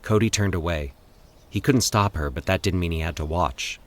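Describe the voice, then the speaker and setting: A man with a low voice narrates scripted horror fiction. He delivers it as a podcast host and storyteller, reading from prepared text. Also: normal speed.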